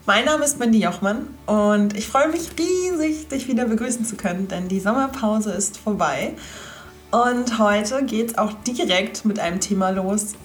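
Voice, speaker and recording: A young woman hosts her own podcast, sounding stuffy from a head cold. Her voice sits at an ordinary middle pitch, and she talks to the listener in a cheerful, chatty way. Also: moderate pace, soft background music. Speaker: podcast host. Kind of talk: casual solo podcast chat.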